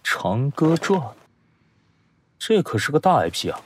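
A man's voice: fairly high pitch, big pitch swings, unhurried, noisy background. An actor playing a brash, sarcastic young male star who coaches a junior, in a mocking, exclamatory way in an audio drama. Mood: dry, sarcastic. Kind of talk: audio drama acting with background music.

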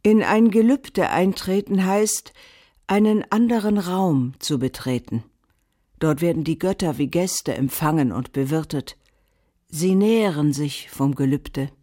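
A solemn female Veda teacher in a radio drama. Her voice is fairly low and mellow, and she gives ritual instructions very slowly, measured and incantatory. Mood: solemn, meditative.

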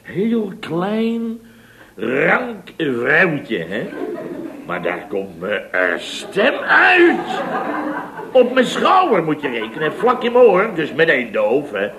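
A man's voice: on the high side, huge pitch swings, slow pace, noisy background, exaggerated voice. An actor playing a flustered, indignant, chatty man in a radio comedy, rambling and repeating himself as he tells his story. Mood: aggrieved, exasperated. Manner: rambling, repetitive storytelling with stammers and rhetorical tags. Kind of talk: radio comedy acting in a dialogue sketch. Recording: radio recording with audience behind.

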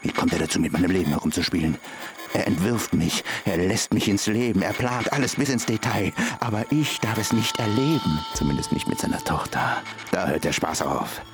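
An actor playing a naive, lovestruck young ape-human student in a radio drama, dreamy, poetic and halting. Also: male voice, low-pitched, expressive, noisy background. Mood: bitter. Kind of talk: German radio drama acting.

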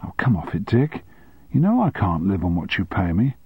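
A man voicing an indignant, sharp-tongued longtime woman secretary with cutting, sarcastic retorts, on a dry, slightly dull recording. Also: deep voice, big pitch swings, moderate pace, slightly rough, no room sound, full character voice.

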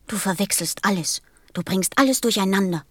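A woman's voice: medium pitch, a little fast, no echo, studio quality, lightly acted. A woman playing a sensitive, earnest little boy prince in a radio play, speaking with insistence. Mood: angry. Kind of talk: German radio play acting.

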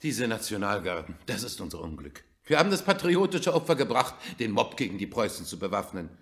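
An actor in a German radio drama playing an agitated government minister, urgent, emphatic and rhetorical. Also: male voice, fairly low pitch, sing-song, a little fast, a bit bright. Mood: pleading.

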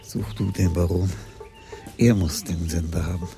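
Gravelly low-voiced police commissioner. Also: male voice, steady tone, measured pace, dark tone, faint music behind. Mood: terse, commanding. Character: shrewd senior Dutch police commissioner, weighing things soberly. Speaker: lead actor in radio crime drama. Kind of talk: 1980s German radio play acting.

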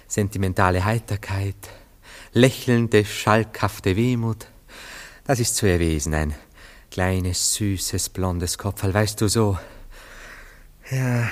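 An actor playing a self-dramatizing, sentimental Viennese bachelor with two lovers, effusive and drawn-out, in a German radio drama. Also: male voice, lowish voice. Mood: dreamy, rapturous. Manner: effusive, with swooping emphasis and drawn-out words.

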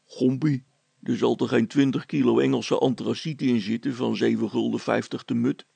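A man puts on a sardonic village policeman for a children's story. He grumbles and needles in everyday speech, with a very gravelly, husky, hoarse voice at medium pitch. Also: fairly even intonation, normal speed, warm tone, dry recording. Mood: sceptical. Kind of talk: children's story character acting.

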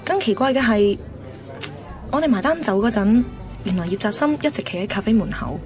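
A woman's voice: fairly high pitch, expressive, fairly quick, over music, lightly acted. A woman with a Hong Kong Cantonese accent playing a picky, chatty host in her twenties, narrating a radio drama conversationally. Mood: storytelling. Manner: cheeky, self-assured, conversational.

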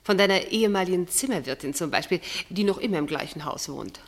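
An actress playing a shrewd, probing woman with an arch, deliberate manner in a German radio drama. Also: fairly low pitch, expressive. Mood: knowing. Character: shrewd, needling woman exposing a man's secrets.

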